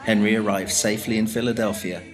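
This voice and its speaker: A man narrating a scripted historical afterword in a measured, explanatory reading, recorded in a school studio. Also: on the low side, fairly even intonation. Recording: narration over loud music. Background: music playing under the voice.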